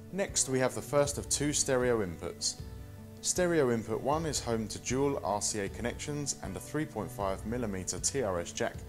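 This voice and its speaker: A man in his thirties presenting a scripted product walk-through in a measured, instructional manner. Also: on the low side.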